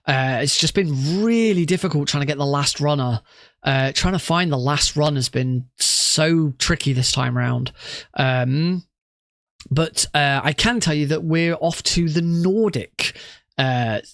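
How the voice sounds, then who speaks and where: A man's voice: fairly high pitch, dry recording, studio quality. Sniffly man chatting on stream. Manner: rambling, many restarts and ums. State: congested, coughing. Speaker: streamer and marathon organiser. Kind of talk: casual chat to stream viewers.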